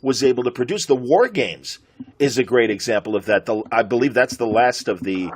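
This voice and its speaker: A man's voice: medium pitch, lively intonation, fairly quick, husky. A middle-aged man with an American accent chatting casually as an interviewer, enthusiastic and given to rambling reminiscence. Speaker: podcast host interviewer.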